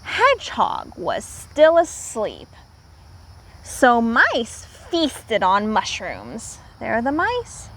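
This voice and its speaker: A woman teacher reading aloud to young children, pausing to explain words simply and repeating herself for clarity. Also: fairly high pitch, huge pitch swings, fairly bright tone, slight background noise.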